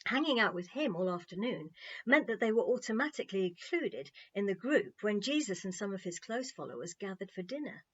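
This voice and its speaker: A woman's voice: mid-range voice, sing-song, fairly quick, slightly rough, dull audio. A woman narrating a children's story expressively, in a playful, wry, conversational way.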